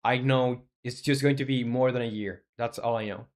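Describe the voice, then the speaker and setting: A young man in his twenties talks casually on a live stream while building a game. His voice sits in a middle pitch, and he rambles along, thinking aloud with plenty of ums and uhs as he reads and answers chat. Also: fairly even intonation, moderate pace. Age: 20s.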